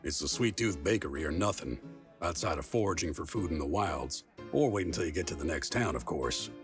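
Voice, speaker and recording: A man playing a gruff, blunt small-town sheriff in a western comedy audio drama. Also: very deep voice, expressive, fairly quick, music in the background. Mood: curt.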